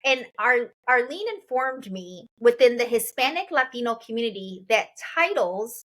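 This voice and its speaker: A woman's voice: on the high side, moderate pace. A woman in her forties from Chicago, hosting a casual interview chat and often echoing her guest's words. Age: 40s. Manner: lively, repeats and echoes her guest. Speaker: host.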